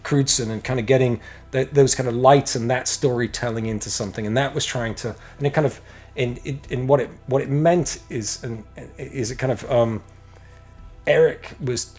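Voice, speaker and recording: A man's voice: mid-range voice, normal speed, darkish tone, soft background music. A middle-aged American man, a podcast guest, talking casually in a rambling way with many restarts and some blunt profanity. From United States. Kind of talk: casual interview chat.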